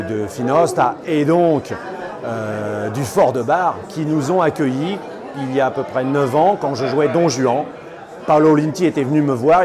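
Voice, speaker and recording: A middle-aged man speaking spontaneously and effusively in a personal interview, like an actor telling his own story. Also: mid-range voice. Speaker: stage and screen actor giving a testimonial. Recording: crowd chatter behind. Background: busy social gathering.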